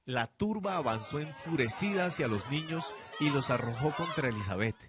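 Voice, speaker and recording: A man's voice: a little high, animated, normal speed. A man reading dramatic scripted narration for a radio novel. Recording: clear background noise, amateur student production.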